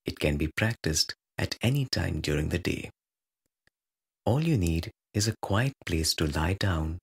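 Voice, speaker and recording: Very slow, low, gravelly male meditation guide. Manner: measured, hushed calm with long pauses.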